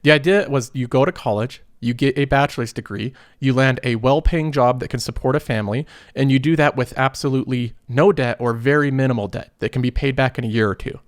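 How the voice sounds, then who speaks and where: A thirty-year-old man getting over a cold talks through personal finance in a casual, earnest way, pausing and restarting as he thinks. His mid-pitched voice sounds dry and close, with no room echo. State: recovering from a cold. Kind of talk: casual explanatory monologue.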